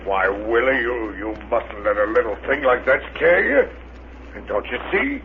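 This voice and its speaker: A man's voice: medium pitch, expressive, normal speed, close to an everyday voice. An actor playing a bumbling, good-natured rocket crewman who bluffs in flustered, folksy, ungrammatical stammers, in 1930s radio serial style. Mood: sheepish bluffing. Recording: noisy, narrow-band 1930s radio recording.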